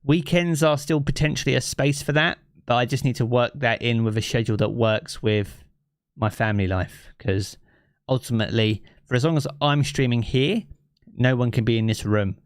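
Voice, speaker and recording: A man with a southeast English accent talks easily with his stream chat, wandering through thoughts with plenty of fillers. His voice sits at an ordinary middle pitch. He is recorded on a close streaming mic in a living room, with a dry sound and no room echo. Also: moderate pace. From southeast England. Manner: chatty and easygoing, thinks aloud with many fillers. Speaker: game streamer. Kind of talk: casual live-stream chat, reading chat aloud. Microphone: streaming mic with effects.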